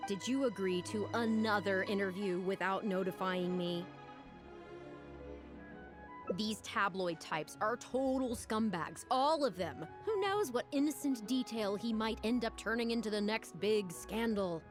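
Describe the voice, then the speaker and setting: A woman's voice: medium pitch, expressive, normal speed, few pauses, close to an everyday voice. Woman acting stern female opera troupe manager, blunt, protective, exasperated, game cutscene, music behind. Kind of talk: voice-acted game cutscene dialogue.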